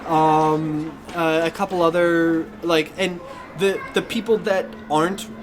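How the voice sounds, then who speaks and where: A man's voice: a little high, level tone, slow pace. A man chatting enthusiastically and casually as co-host of a pop-culture podcast.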